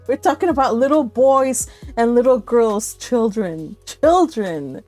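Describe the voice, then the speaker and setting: A millennial woman hosting a book read-along livestream, chatting casually with viewers in an animated, giggly, exclaiming way. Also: on the high side, slow, faint music behind. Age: 30s to early 40s. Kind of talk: casual live chat with viewers.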